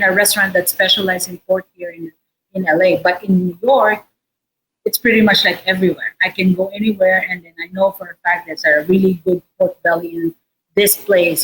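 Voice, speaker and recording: A woman chef with a Filipino accent giving a casual cooking demonstration in an informal, halting, explanatory way. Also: medium pitch, fairly bright tone, poor audio. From Philippines. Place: indoor restaurant space behind a counter. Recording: noticeable background noise and room ambience.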